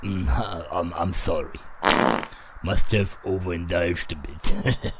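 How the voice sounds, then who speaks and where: A man's voice: sits low, slow, very noisy. A man playing a sleazy, lecherous old rich businessman in a motion comic, chuckling and stammering as he talks. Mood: flustered, sheepish. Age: older.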